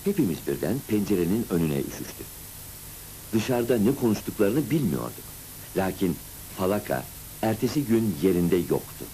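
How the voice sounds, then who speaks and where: An actor narrating a cartoon as a grown man recalling his boyhood school days, in an expressive, scripted storybook manner. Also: on the low side, moderate pace, a little hiss or hum, rough recording. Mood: reminiscing, measured.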